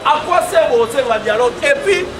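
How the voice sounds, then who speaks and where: A man's voice: very high voice, animated, brisk. A man with a Congolese accent giving an emphatic, repetitive political street speech, prompting his listeners with questions and slogans. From Democratic Republic of the Congo. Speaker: political activist and street orator. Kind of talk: rallying political street speech with call and response. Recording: noisy outdoor crowd, roomy echoing sound, traffic. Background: loud crowd and vehicle noise.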